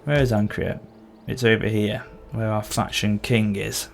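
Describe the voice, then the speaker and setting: A man's voice: fairly low pitch, flat delivery, unhurried, creaky, soft background music. A man with a sore throat and occasional cough, casually commenting as he plays a strategy game. He thinks aloud and corrects himself as he goes. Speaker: gameplay channel host.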